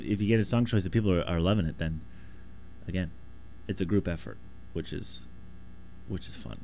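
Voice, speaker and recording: A man with a slightly raspy voice talking casually in a loose, rambling, self-deprecating way. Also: low-pitched, darkish tone, slight background noise, poor audio. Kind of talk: casual monologue.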